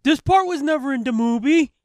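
A man's voice: very high-pitched, brisk, a little mellow, no room sound, studio quality. An American man trading casual, joking banter as a podcast co-host. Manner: joking, quipping.

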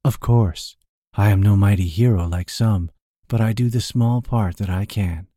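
A man voicing a smooth-talking, sly young male rogue who speaks in a purring, grandiose way in a storybook reading. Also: on the low side, a little slow, mellow, dry recording, studio quality.

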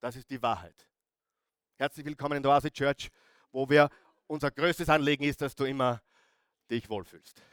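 A man's voice: mid-range voice, normal speed, long pauses, a bit bright, clean tone, no room sound, studio quality. A man preaching a sermon as a pastor, putting rhetorical questions to his listeners and repeating key phrases with emphasis.

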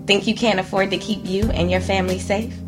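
A woman's voice: medium pitch, steady tone, brisk. A woman reading a scripted radio advertisement as an insurance agent, addressing the listener in a direct, punchy way. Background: music bed.

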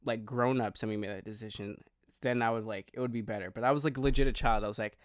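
A man's voice: medium pitch, steady tone, fast, dry recording. Rambling, slangy young American man, dry sound. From New York and New Jersey, United States. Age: twenties. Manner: rambling, slangy, self-interrupting, heavy use of "like". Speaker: podcast co-host. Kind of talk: casual chat.

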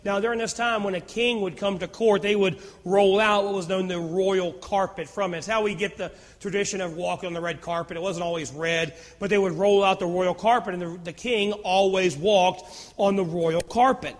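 A man preaching a sermon as pastor, with a naturally loud voice that swings from fervent emphasis to hushed near-whispers. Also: high-pitched, fairly even intonation, normal speed, big-room sound.

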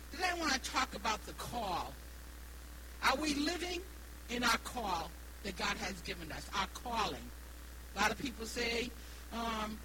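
A woman in her sixties with a New England accent preaches haltingly, repeating phrases and stressing key words. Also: a little high. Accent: New England American. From Rhode Island, United States. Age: 60s. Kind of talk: evangelical sermon with personal testimony. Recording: noisy live recording with hum.

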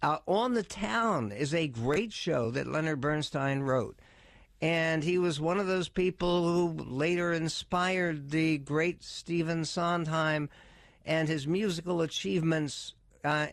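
Older male talk radio host: slightly high pitch, bone-dry studio mic, chatty opinionated commentary with fillers. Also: no room sound. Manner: conversational, frequent uh fillers and restarts, wry asides. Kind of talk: radio commentary, partly reading aloud.

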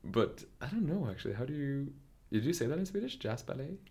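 A man's voice: mid-range voice, big pitch swings, fast talker, darkish tone. A young man from Norway, a dancer, talking through his story in a casual interview, thoughtful and hesitant with many fillers and restarts.

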